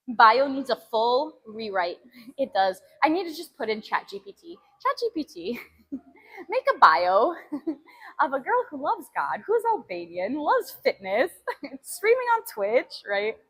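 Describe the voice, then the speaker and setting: A woman chatting casually with live viewers as a fitness stream host, giggly and animated as she talks between sets. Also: high-pitched, measured pace, slightly rough. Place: gym, during a workout.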